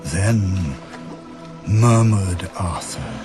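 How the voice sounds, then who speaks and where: Man, dramatic verse narration, slow pace, grave theatrical phrasing, faint music behind. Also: low voice, expressive.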